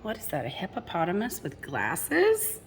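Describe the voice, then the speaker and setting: A woman's voice: on the low side, wildly swooping pitch, normal speed, slight background noise. A woman reading a picture book aloud to children expressively, addressing young listeners directly with chatty asides. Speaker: storybook reader.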